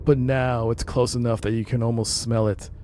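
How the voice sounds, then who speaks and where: A man's voice: mid-range voice, level tone, moderate pace, music in the background. A man streaming a game, reading its narration aloud and adding casual commentary.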